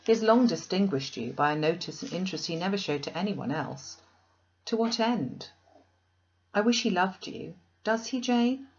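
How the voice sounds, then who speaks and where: A woman voicing an outspoken, affectionate young gentlewoman, emphatic and questioning, in a classic novel. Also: mid-range voice, animated, moderate pace, highs cut off, close to an everyday voice. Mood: concerned, probing.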